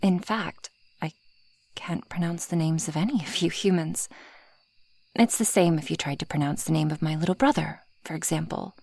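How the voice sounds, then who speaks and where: Husky, breathy dragoness voiced by a woman. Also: low-pitched, normal speed, a little mellow, dry recording. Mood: possessive, smug. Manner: haughty, teasing, formal wordy phrasing, often dropping into whispers. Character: possessive, proud, civilized female dragon predator. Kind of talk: ASMR roleplay addressed to the listener.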